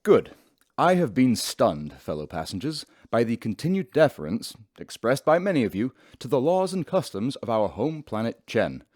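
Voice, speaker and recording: A man's voice: medium pitch, big pitch swings, normal speed, a little mellow, dry recording. A pompous male academic with a mid-range voice and sweeping intonation. He lectures formally and pedantically in a dry, close recording, a self-important figure from a sci-fi radio drama. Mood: pompous, stern.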